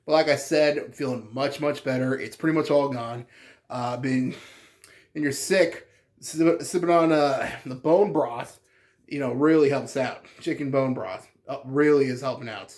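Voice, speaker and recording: A man's voice: mid-range voice, moderate pace, a little mellow. Congested man chatting on his channel. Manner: rambling, chatty, many fillers and restarts. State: congested, stuffy voice. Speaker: card game channel host. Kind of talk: casual deck profile vlog.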